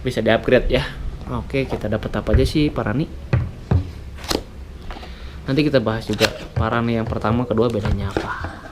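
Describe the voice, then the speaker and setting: A man's voice: mid-range voice, fairly even intonation, normal speed, long pauses. A man reviewing products in a casual, jokey, unscripted way on a noisy, clicky recording. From West Java, Indonesia. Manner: jokey, chuckles often, colloquial.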